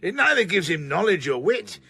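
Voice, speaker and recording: A man's voice: sits high, sing-song, moderate pace, vocal fry, sharp tone, slightly rough, exaggerated voice. An actor playing a blunt, witty, domineering older man of letters in a period radio drama, arguing emphatically. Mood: opinionated. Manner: emphatic, argumentative, pronouncing.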